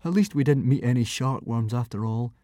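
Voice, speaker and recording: A man's voice: medium pitch, brisk, mellow, dry recording, studio quality, close to an everyday voice. A man voicing a timid, miserable young Viking boy who stammers and mumbles apologies.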